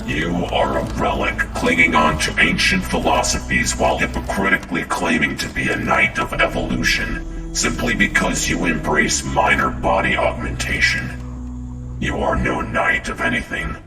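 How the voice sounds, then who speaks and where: A man's voice: a bass voice, huge pitch swings, moderate pace, full character voice. A man playing a menacing, philosophizing sci-fi villain, delivering a portentous, scornful monologue in a video game. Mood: contemptuous. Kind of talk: scripted dramatic game monologue. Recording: echoey, distant, music behind.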